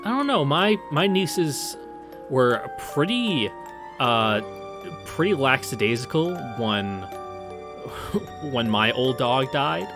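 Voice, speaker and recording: A young man streams a visual novel in an offhand, sardonic way. His voice is mid-pitched, with clear game music playing behind it. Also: very animated, takes it slowly. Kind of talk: casual commentary and read-aloud narration.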